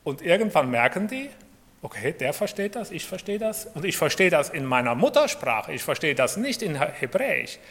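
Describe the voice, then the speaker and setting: A man's voice: on the high side, fairly bright tone. A man preaching a sermon in in a conversational way, asking his listeners rhetorical questions. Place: church hall. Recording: long reverb of a hall.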